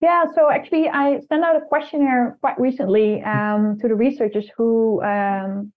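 A woman's voice: a little high, steady tone, normal speed. A woman whose first language is Dutch, a guest answering interview questions thoughtfully, with frequent restarts. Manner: thoughtful, many restarts and repeated words. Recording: tinny phone-like line.

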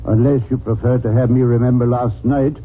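An actor playing an imperious, unflappable genius detective in a 1940s radio drama, speaking with precise, formal, dismissive command. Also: male voice, mid-range voice, steady tone, fairly quick, lightly acted. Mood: commanding, accusing. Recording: noisy, tinny 1940s radio recording.

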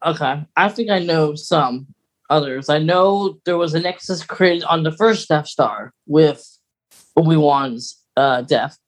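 A podcast co-host in casual fan chat, geeky and halting, getting animated when amused. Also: medium pitch. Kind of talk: casual fan chat about film lore.

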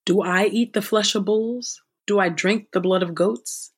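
A woman reads Bible passages in a measured, earnest way, then moves into spoken prayer. Her voice sits at a middle pitch, and she returns again and again to the same words of address as she prays. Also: husky. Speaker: Bible reader. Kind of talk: scripture reading and spoken prayer.

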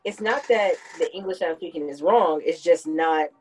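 Woman with a lowish voice, reflective. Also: moderate pace, a little mellow, husky, faint music behind. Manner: reflective, explains at length. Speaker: podcast host. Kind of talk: casual conversational chat.

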